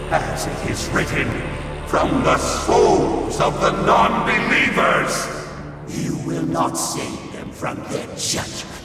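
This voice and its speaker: Slow, echo-drenched demonic priest. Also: male voice, on the high side, vocal fry, heavily acted. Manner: slow, grandiose, preaching delivery. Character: menacing demonic hell priest, fanatical male zealot. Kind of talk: theatrical video game villain acting. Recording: cavernous echo, distant, under loud music.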